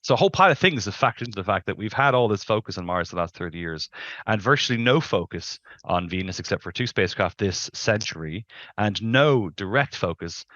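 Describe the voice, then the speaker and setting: A man's voice: on the low side, lively intonation, brisk, bright, forceful tone, dry recording, highs cut off. A middle-aged man explaining things as an expert interview guest, emphatic and discursive, on a headset in a video call. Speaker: planetary scientist, interview guest.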